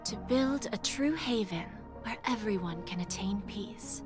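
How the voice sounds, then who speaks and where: An actress playing a poised, condescending noblewoman dealmaker in a video game, speaking in a silky, measured, formal way. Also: mid-range voice, expressive, over music, lightly acted. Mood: persuasive.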